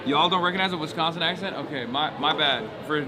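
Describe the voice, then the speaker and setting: A man's voice: a little high, quick pace. A male streamer host chatting casually and jokingly at an outdoor protest, with loose slang. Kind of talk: casual street chat. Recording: loud crowd noise behind. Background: loud crowd.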